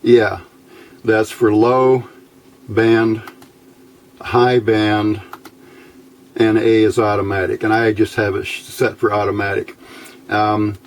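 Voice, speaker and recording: A man's voice: lowish voice, level tone, slow pace, long pauses, a little hiss or hum. A man giving a casual, unscripted hands-on demonstration, explaining step by step in a plain, folksy way. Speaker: hobbyist presenter demonstrating electronics test equipment.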